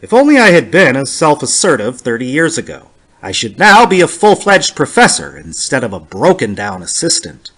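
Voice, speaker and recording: A man performing a lonely, fussy, self-pitying middle-aged academic in a dramatic horror story reading, with formal, measured diction. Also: medium pitch, wildly swooping pitch, a bit bright, no room sound. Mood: wistful, self-pitying.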